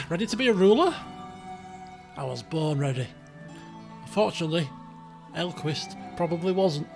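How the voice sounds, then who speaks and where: A British man with a croaky voice, chatting casually and in a rambling, colloquial way as he hosts a game livestream. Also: on the high side, expressive, moderate pace, long pauses, music in the background. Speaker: game streamer, host.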